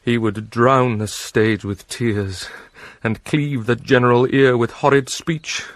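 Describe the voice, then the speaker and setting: An actor with a British accent playing an anguished, self-loathing young prince in a theatrical Shakespearean soliloquy, breathy and brooding. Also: male voice, mid-range voice, fairly even intonation, slow pace, no room sound, natural voice. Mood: impassioned anguish. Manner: brooding, swinging from hushed musing to ranting.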